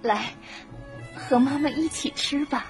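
A woman's voice: high-pitched, measured pace, faint music behind. A woman playing a frail old mother crow, tender and worried, in a children's radio drama. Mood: tender, weary.

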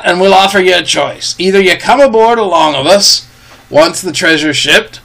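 A man voicing a gruff, peg-legged old pirate cook who bargains and threatens in rough sailor's talk. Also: high voice, pitched above the performer's own voice, heavily acted. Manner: rough sailor's dialect, loud and blustering. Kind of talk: storybook reading.

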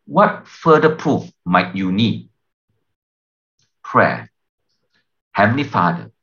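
A man reads a scripted devotional in a measured, solemn, deliberate manner. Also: fairly low pitch, a bit bright, lo-fi sound. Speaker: devotional reader.

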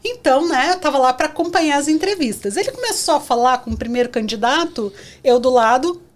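A middle-aged woman from southern Brazil with a gaúcho accent, a podcast guest telling lively stories in casual chat. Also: high-pitched, a little fast. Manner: animated storyteller, acts out scenes. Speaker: guest, career counselor.

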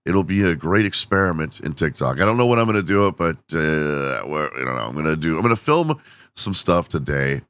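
Very deep-voiced man, losing his voice. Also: animated, fairly quick, fairly bright tone, clear, resonant tone, dry recording. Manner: rambling, self-deprecating, laughs often. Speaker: podcast host. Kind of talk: casual solo podcast chat.

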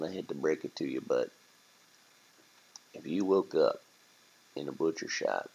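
Jaded street rat for an animal tale, male performer: slangy, blunt, friendly, creaky voice, slightly dull recording. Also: very deep voice, a little mellow, voice pushed down, full character voice. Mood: blunt, matter-of-fact. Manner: slangy, casual, drawling "man" and "brah".

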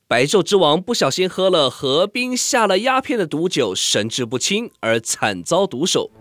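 A high-voiced man narrates radio drama in Taiwanese Mandarin. His delivery is theatrical and very animated, shaped for storytelling. Music plays clearly behind him.

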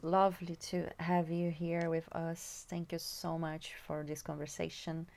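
A woman hosting a podcast, asking gentle, hesitant questions with fillers. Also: low voice, level tone, measured pace, gravelly. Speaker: podcast host. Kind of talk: interview questions.

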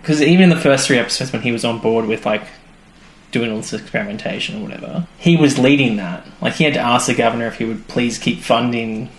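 A man's voice: mid-range voice, quick pace, a little hiss or hum. A man co-hosting a podcast, retelling television episodes in a casual, jokey, informal way. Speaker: podcast co-host. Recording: echoey room, faint music behind.

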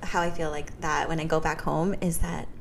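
A relaxed young woman from California chats as a podcast guest, telling easy stories about home. Her voice is fairly low for a woman, over a faint background hiss. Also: normal speed, gravelly. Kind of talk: casual chat.

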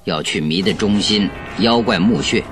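A man playing a confident, shrewd detective in a radio drama, speaking in a husky, assured, deliberate way. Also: lowish voice, over music, close to an everyday voice. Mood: determined. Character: confident, shrewd male detective planning a night investigation.

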